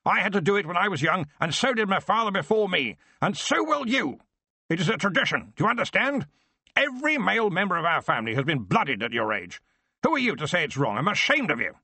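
A raging aristocratic lord in a storybook reading, bellowing loudly in a pitch pushed far above his natural register, as a male narrator puts him on. His voice swoops with wide, lively swings and sits slightly husky in a dry, close space. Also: quick pace, dry recording, heavily acted. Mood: enraged. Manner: roaring, pompous. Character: furious aristocratic father, roaring and domineering.

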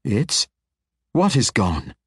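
A man voicing an imperious female dark elf matriarch, sharp and demanding. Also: on the low side, huge pitch swings, extremely slow, slightly rough, no echo, studio quality, voice pushed up, heavily acted. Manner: sharp, demanding, raised intensity.

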